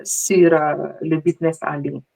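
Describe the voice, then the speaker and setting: A middle-aged woman from Mali, a guest coach, answering interview questions in a lively, conversational way. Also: deep voice, measured pace, gravelly, poor audio.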